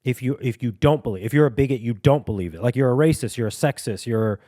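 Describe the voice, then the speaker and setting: A young man co-hosting a podcast, joking and rambling in casual chat with quick laughs. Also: mid-range voice, dark tone, no room sound, clean studio sound. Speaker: podcast co-host. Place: home studio with dogs.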